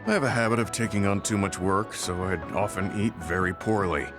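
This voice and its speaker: A man playing a middle-aged, guilt-ridden veteran soldier in a video game, speaking in a measured, earnest way. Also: lowish voice, natural voice. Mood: gentle, reflective. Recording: studio voice under loud game music.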